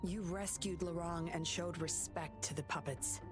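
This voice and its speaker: An actress voicing a composed, sly woman puppeteer and undercover patroller in a video game, speaking in a cool, deliberate, teasing way. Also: fairly low pitch, steady tone, music in the background, natural voice. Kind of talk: scripted video game voice acting.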